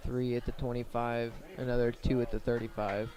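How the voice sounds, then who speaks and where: Even-toned man calling football play-by-play. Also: mid-range voice, brisk. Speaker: play-by-play sports commentator. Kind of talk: live high school football radio commentary.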